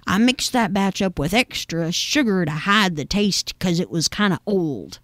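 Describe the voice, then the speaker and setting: A woman's voice: on the low side, expressive, measured pace, a bit bright, no echo, studio quality. A woman voicing an eccentric old neighbor lady in a humorous story, rambling in a folksy, matter-of-fact way. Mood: folksy, unbothered. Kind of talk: acted quote in a humorous story.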